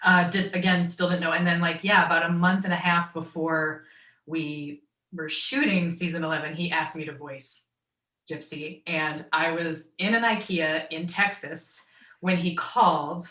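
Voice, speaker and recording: A woman with a naturally low voice and a Midwestern accent, telling stories as a guest on a video call. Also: fairly even intonation, unhurried, bright, forceful tone, roomy sound, distant mic. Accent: American Midwestern. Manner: chatty storytelling, quoting others in asides. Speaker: guest voice actor and writer. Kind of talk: online fan Q and A.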